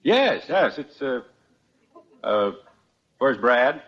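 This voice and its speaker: A man's voice: mid-range voice, sing-song, very slow, exaggerated voice. An actor with an American accent playing a genial but stubborn middle-aged father in an old-time radio sitcom. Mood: awkward, sheepish. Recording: dull vintage radio broadcast recording.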